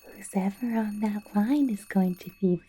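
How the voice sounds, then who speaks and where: A woman playing a possessive, seductive vampire boss in an ASMR roleplay, politely menacing in a soft whisper with deliberate, coaxing phrasing. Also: medium pitch, slightly rough, close to an everyday voice. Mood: doting, sly. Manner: slow, deliberate, coaxing, with lingering pauses. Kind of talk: ASMR roleplay, personal attention.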